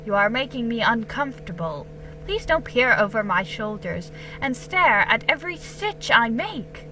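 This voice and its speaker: A woman voicing a patient but weary colonial mother, gently scolding in measured tones, in a dramatic storybook reading. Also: fairly high pitch, very animated, soft background music, rough recording. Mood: mildly scolding.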